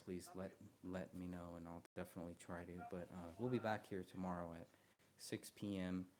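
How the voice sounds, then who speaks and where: A soft-spoken man chatting casually on a live stream, hesitant, with many ums and restarts, close to the mic. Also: sits low.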